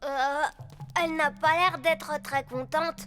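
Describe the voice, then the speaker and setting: A small, innocent cartoon child with a very high voice. The delivery is plain-spoken and sweetly earnest. Music plays behind it. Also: female voice, steady tone, takes it slowly. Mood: concerned.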